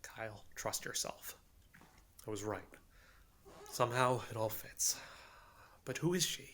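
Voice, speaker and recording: A man's voice: fairly low pitch, a little slow, a bit bright, a little hiss or hum. An actor in an audio drama playing an earnest, suspicious young male investigator with an intense, self-serious manner. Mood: betrayed, agitated. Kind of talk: audio drama, dictating covert voice notes.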